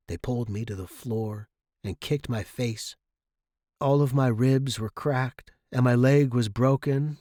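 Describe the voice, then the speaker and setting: A man's voice: medium pitch, darkish tone, dry recording, clean studio sound, natural voice. A man playing a battered saxophone star in a dramatic story reading, intense and anguished, a bitter, frightened but defiant patient.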